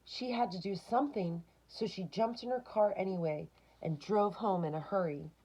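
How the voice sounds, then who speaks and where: A woman's voice: medium pitch, normal speed, warm tone, husky, poor audio. A woman reading a picture book aloud to children, expressively, with short asides of her own.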